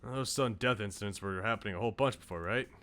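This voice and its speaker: A man's voice: on the low side, fast, fairly bright tone, faint music behind, close to an everyday voice. A man voicing a brash, insecure but loyal eighteen-year-old slacker in a visual novel, with blunt, casual slang. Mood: reassured. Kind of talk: visual novel character read aloud.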